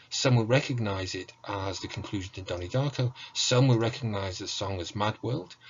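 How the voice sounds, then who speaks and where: Man, academic lecturer, lowish voice, husky edge, measured scholarly narration, slightly dull recording. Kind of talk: academic presentation, scripted narration.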